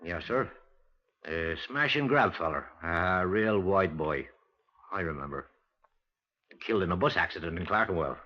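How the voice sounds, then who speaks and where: A man playing a deferential, straightforward police sergeant with a husky voice in a 1950s radio drama. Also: low-pitched, expressive, moderate pace, long pauses. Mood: recalling. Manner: respectful, obliging. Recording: muffled 1950s radio recording.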